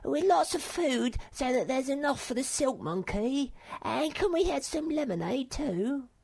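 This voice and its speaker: A man voicing an excitable small male creature in a storybook, in a very high, strained voice. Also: unhurried, creaky, slightly rough, heavily acted. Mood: excited. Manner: shrill, excitable.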